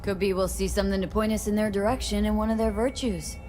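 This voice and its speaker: A woman's voice: medium pitch, fairly even intonation, quick pace, faint music behind, lightly acted. A woman playing a tough, determined mercenary in a video game, wry and streetwise, with blunt, clipped, slangy delivery. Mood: focused.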